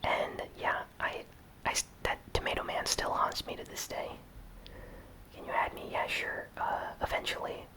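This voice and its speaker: A person talks in an unvoiced whisper and answers viewer questions one by one. The tone is bright and thin, and the talk wanders in a relaxed, rambling way. It sounds like a gamer chatting to fans. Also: normal speed. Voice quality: whispered, breathy, unvoiced delivery. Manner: relaxed, rambling, lots of "like" fillers. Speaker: gaming YouTuber answering viewer comments. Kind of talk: casual Q&A chat, reading comments aloud.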